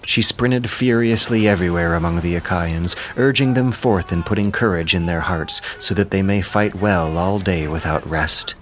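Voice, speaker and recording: A man's voice: low-pitched, normal speed, hardly any pauses, slight background noise. A man narrating an epic audio drama in a solemn, declamatory, oratorical manner. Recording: loud music under the narration. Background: loud background music.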